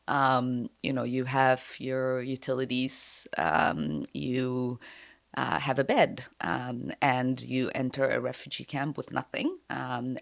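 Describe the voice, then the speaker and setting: A woman in her forties from Somalia, a congresswoman talking through her life in a thoughtful, unhurried interview, with many fillers and the odd soft laugh. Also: extremely low pitch, creaky, no echo. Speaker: guest congresswoman. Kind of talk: conversational interview.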